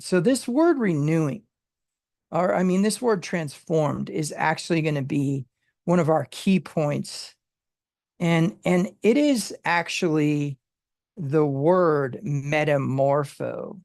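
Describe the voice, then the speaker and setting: A Bible study teacher who explains word meanings in a halting way, with many fillers and restarts. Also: medium pitch, darkish tone, dry recording, clean studio sound.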